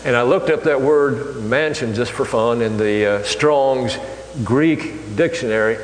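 An older man delivers a sermon in an easygoing, halting way, stopping often to restart his sentences. His voice rings through a large, echoey hall with a long tail of reverb. A faint hum sits underneath. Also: medium pitch. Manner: conversational, halting, many fillers and restarts. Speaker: preacher. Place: church hall.

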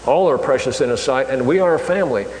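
A man's voice: medium pitch, darkish tone. Older man preaching in an echoey church. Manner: conversational, halting, many fillers and restarts. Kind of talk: sermon. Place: church hall. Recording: echoey hall, faint hum.

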